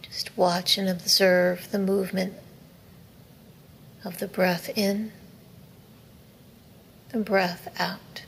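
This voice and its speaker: Woman, meditation teacher, lowish creaky voice, soothing, measured instructions, soft breathy endings, faint background noise. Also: level tone. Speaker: yoga nidra meditation teacher. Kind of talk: guided meditation.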